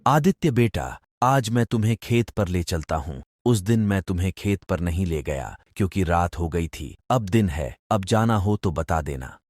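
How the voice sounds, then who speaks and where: A man's voice: low voice, expressive, normal speed, a little mellow, no echo, clean studio sound. A man playing a stern farmer father. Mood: gentle. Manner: firm, fatherly, scolding. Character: protective middle-aged farmer father. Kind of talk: Hindi cartoon dubbing.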